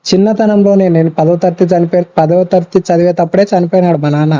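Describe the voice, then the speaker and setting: High, very mellow Rayalaseema Telugu man advising. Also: fairly even intonation, quick pace. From Kurnool, Andhra Pradesh, India. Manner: earnest, admonishing, repeats phrases and checks for agreement. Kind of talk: advisory phone conversation. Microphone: phone call. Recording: dull lo-fi phone call recording.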